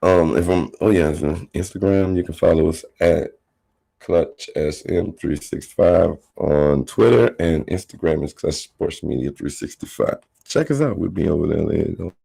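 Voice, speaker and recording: A man's voice: a bass voice, normal speed, vocal fry, warm tone, husky. A man hosting a sports podcast, rambling through casual commentary with lots of fillers and restarts.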